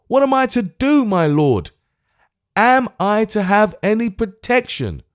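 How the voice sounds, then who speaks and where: A man voicing a choleric, frightened old gentleman who pleads in a shrill, frantic way. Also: animated, unhurried. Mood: pleading, indignant. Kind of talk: audiobook character voice.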